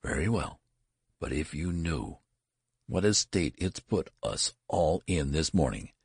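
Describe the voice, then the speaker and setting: A man's voice: extremely low pitch, animated, measured pace, breathy edge, no room sound, studio quality, exaggerated voice. A man voicing a severe, contemptuous female hospital nurse in a scolding manner in a story reading. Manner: stern, scolding, hushed at times.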